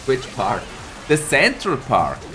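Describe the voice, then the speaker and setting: Brief: male game caster, animated, slightly hoarse, unhurried delivery, faint music under. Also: medium pitch. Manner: lively, laughs often, greets chat viewers by name. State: a bit hoarse from a cold. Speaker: esports caster and streamer. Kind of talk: live tournament commentary with chat replies.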